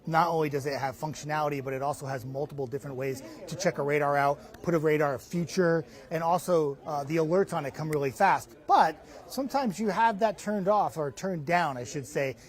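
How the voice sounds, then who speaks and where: A middle-aged man working as a TV meteorologist, explaining conversationally and emphatically in a live interview on a lapel mic. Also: fairly high pitch. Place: inside a hardware store, live remote.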